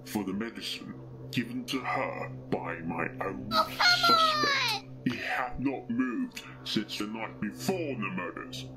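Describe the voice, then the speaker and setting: A man voicing a stern male pony detective who speaks with deliberate, accusatory authority in a fan fiction reading. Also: medium pitch, huge pitch swings, loud music behind the voice, poor audio. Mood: accusing.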